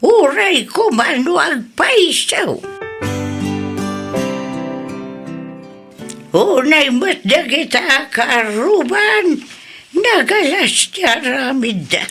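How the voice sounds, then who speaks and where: A woman with a Filipino accent playing a creaky-voiced, protective, long-suffering old grandmother in an audio drama. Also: sits high, sing-song, slow, a bit bright, faint music behind, exaggerated voice. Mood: indignant. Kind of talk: audio drama acting in Ilocano.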